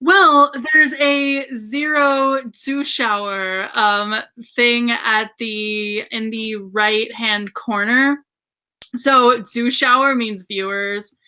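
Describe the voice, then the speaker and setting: Woman, upbeat livestream co-host, bright and slightly high voice, giggly, home computer mic. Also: fairly even intonation, very slow, clean tone. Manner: upbeat, giggly, says "awesome" a lot. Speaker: book club livestream co-host. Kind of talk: casual chat.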